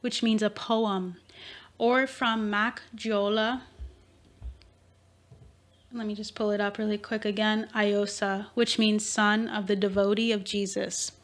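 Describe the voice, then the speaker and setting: A woman's voice: medium pitch, level tone, takes it slowly. Chatty woman giving an intuitive reading. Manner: rambling, thinks aloud with fillers and self-corrections. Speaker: intuitive reader. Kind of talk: tarot-style intuitive reading and history talk.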